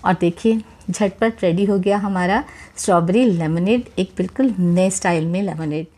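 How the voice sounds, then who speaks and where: A woman's voice: on the low side, moderate pace. Woman, cooking show host, recipe voice-over, chatty, addresses viewers directly. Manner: warm, chatty, repeats religious blessings while cooking. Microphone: voice-over, speaker not seen.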